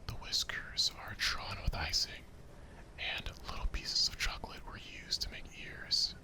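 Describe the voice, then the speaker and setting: Guy doing asmr visual novel narration, whispery, slow. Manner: hushed, whispering, slow and deliberate.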